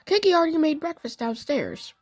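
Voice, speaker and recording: High-pitched woman narrating a story, animated. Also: no room sound. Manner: halting, with stumbles and self-corrections. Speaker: narrator of a fan audio story. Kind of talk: first-person storybook narration.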